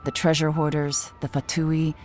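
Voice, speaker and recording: An actress playing a shrewd, composed lady in command, measured and knowing, in a video game. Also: low voice, completely flat delivery, a little mellow, faint music behind, natural voice. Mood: analytical.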